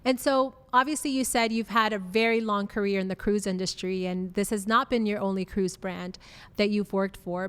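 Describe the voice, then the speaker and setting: Upbeat woman host with a smooth, clear voice and a long reverb trail, effusive in her questions. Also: medium pitch. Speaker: podcast host. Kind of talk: enthusiastic interview hosting.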